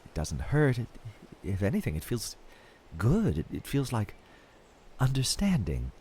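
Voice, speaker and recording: A man playing an eerily fascinated field researcher in an audio drama, recording a halting, wondering monologue as he slips toward madness. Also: medium pitch, wildly swooping pitch, a little mellow, a little hiss or hum. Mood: halting, distracted.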